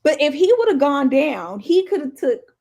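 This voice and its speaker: A woman co-hosting a podcast in casual, slangy chat, telling things in an animated, playful way. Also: high voice, normal speed, creaky. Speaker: podcast co-host.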